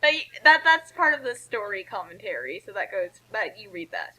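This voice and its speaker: A woman's voice: medium pitch, very animated, fairly quick, bright, forceful tone, rough recording. A woman co-hosting a read-along in a casual, chatty, explanatory way. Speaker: co-host reading fan fiction aloud. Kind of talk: casual banter and commentary.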